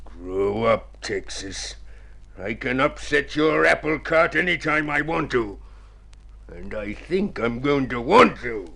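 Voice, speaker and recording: An actor playing a sly, bullying swindler in a radio drama, with an oily, sneering manner. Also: male voice, medium pitch, expressive, takes it slowly, creaky, bright, forceful tone, full character voice. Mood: sly, needling. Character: sly, bullying male swindler who turns craven.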